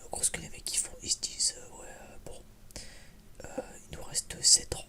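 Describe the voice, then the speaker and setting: A man whispers a casual ASMR film review in a hesitant, rambling, informal way. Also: moderate pace. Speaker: video creator giving a film review.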